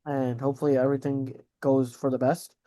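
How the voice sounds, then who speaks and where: A man's voice: medium pitch, level tone, a little fast, warm tone. A man co-hosting a podcast, reacting to news in a casual, slangy way with mild swearing. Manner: slangy, informal, swears mildly. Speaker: podcast co-host.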